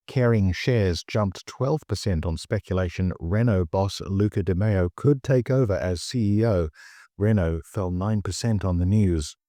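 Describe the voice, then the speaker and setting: Low-voiced man reading scripted financial news. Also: warm tone, no echo, studio quality. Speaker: financial news podcast host.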